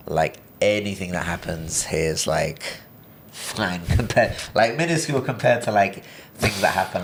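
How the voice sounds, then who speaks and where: A young man from Brazil speaks with a British-tinged accent in a deep register. His voice is low and rough with fry, and he wanders through his points with fillers and restarts. A faint noise sits under the recording. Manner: rambling, many fillers and restarts. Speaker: podcast guest. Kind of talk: casual interview chat.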